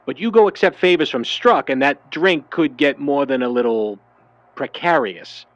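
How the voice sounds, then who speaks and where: An actor playing a laconic, loyal 1950s sidekick in a vintage noir audio drama, speaking in a wry, terse way. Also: male voice, medium pitch, moderate pace, no room sound, dull audio, natural voice. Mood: cautioning.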